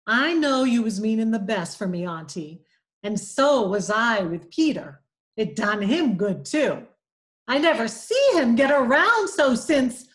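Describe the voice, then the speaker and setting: A woman voicing a cheeky, mischievous young boy who talks back quickly. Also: on the high side, big pitch swings. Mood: sly.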